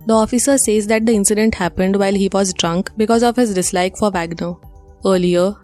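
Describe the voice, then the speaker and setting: A woman's voice: medium pitch, darkish tone. A woman with an Indian accent presents scripted news updates as a newsreader.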